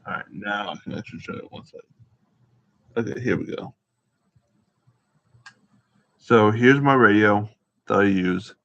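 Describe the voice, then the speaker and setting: A man with a fairly low, heavily creaky voice talks casually to his viewers as an amateur vlogger. He rambles and gropes for words, often circling back over what he said. His tone is bright and forceful, though the home recording sounds a bit muffled. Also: slight background noise. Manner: halting, searching for words, repeating himself. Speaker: amateur vlogger showing gear. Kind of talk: casual rambling show-and-tell to camera.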